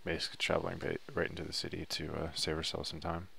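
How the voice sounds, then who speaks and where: A man's voice: sits low, monotone, quick pace, creaky, pushed and bright, a little hiss or hum. A man giving a casual, unscripted video game walkthrough in a loose, hesitant, laid-back way. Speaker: video game guide narrator.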